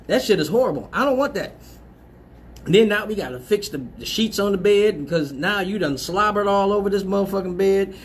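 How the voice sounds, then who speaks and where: A man's voice: high voice, animated, moderate pace, a little hiss or hum. A man hosting a live advice show, talking bluntly and profanely, laughing often and repeating himself as he goes. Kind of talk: crude casual advice talk.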